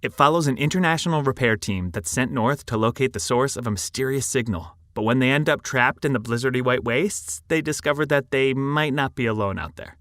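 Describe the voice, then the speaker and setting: A man with a mid-pitched voice reads a scripted podcast promo. He sounds enthusiastic, and the recording is very dry with no room sound. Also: fairly quick, few pauses, fairly bright tone, dry recording.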